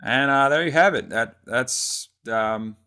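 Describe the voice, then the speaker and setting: A man presenting a casual software tutorial, explaining step by step in an informal way. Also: medium pitch, slow pace, heavy background noise.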